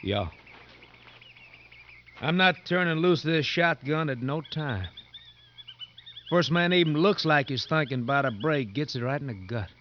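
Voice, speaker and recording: An actor playing a gruff, bullying sheriff's deputy in a 1950s radio drama. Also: male voice, fairly high pitch, animated, measured pace, pauses often. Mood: menacing. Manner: gruff, barking, threatening. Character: mean, bullying sheriff's deputy guarding convicts. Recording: muffled, hissy 1950s radio recording.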